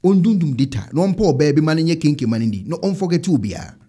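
Ghanaian guy hosting a reaction vid, casual chat, kinda high husky voice, close mic. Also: lively intonation, a little fast, warm tone. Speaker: online reaction show host. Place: indoor studio setup. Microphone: close desk microphone.

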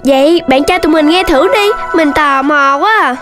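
Cartoon rabbit kid, acted: small high voice, sensible, scolding yet caring, background music. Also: normal speed, close to an everyday voice. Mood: curious, caring. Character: sensible, bossy young rabbit child, cautious and caring. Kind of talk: children's cartoon dubbing in Vietnamese.

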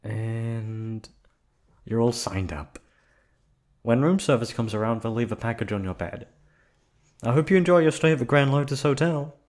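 A man's voice: mid-range voice, moderate pace, long pauses, a little mellow, natural voice. A man voicing an obsessive male yandere hotel receptionist in an ASMR drama, silky and polite, sliding into menace and close whispers. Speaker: voice actor. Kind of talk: ASMR roleplay audio drama.

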